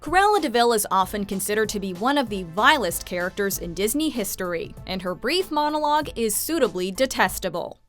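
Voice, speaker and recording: Countdown host: young woman, expressive scripted narration over music. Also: mid-range voice, brisk, hardly any pauses. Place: studio voice-over.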